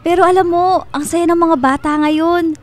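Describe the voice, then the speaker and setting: An actress playing a chirpy, ingratiating and subtly possessive family friend in a radio drama, with a breezy, over-sweet manner. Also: female voice, very high voice, fairly even intonation, normal speed. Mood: gushing, smug.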